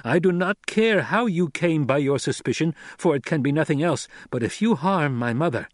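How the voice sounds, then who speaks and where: A man voicing a young nobleman in an audiobook, a fiercely loyal son who speaks with deliberate, commanding intensity and deadly control. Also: fairly high pitch, animated, no echo, studio quality, pitched above the performer's own voice, close to an everyday voice. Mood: stern, threatening. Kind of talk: audiobook character acting.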